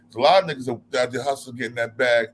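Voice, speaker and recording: A man in casual chat who talks quickly and repeats words as he pushes his point. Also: medium pitch, slight background noise.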